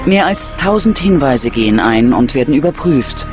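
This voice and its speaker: A man narrating a scripted true-crime documentary in a dramatic, measured storytelling manner. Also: mid-range voice, lively intonation. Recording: loud music bed under narration. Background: loud music.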